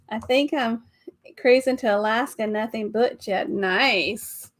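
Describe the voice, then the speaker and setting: A woman's voice: fairly high pitch, slow. Bubbly middle-aged woman host. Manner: bubbly, laughs often. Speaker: live stream host. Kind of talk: casual live chat greeting viewers.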